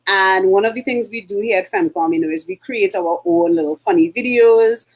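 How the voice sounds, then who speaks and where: A middle-aged Trinidadian woman co-hosting a relaxed talk show. She rambles warmly through her points with frequent ums and uhs, at an ordinary middle pitch. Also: normal speed. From Trinidad and Tobago. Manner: chatty, many fillers and throat clearing. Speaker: co-host. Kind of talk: casual chat.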